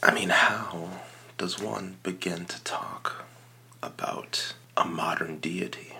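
A man playing a reverent small-town radio devotee on an improvised comedy podcast, speaking in a halting, hushed whisper. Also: deep voice, steady tone, gravelly, heavily acted. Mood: hushed reverence. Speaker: podcast guest and producer. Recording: noticeable background noise.

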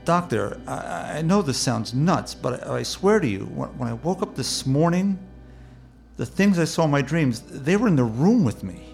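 A man playing an anxious patient haunted by night terrors in a radio play, speaking in a halting, stammering, desperate way. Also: fairly high pitch, animated, vocal fry, darkish tone, soft background music. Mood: anxious, unsettled.

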